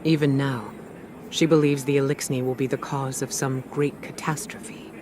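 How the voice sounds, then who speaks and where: An actor playing a grave, weary male military commander in a game cutscene, speaking in a measured, solemn and deliberate way. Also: medium pitch, little pitch movement, noisy background, close to an everyday voice. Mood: concerned. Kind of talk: scripted game cutscene narration.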